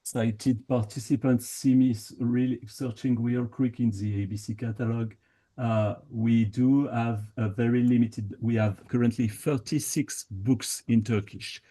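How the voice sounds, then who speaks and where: A man answering audience questions at a conference panel, explaining in a measured way with frequent pauses and fillers. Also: medium pitch, steady tone, mellow, slightly rough.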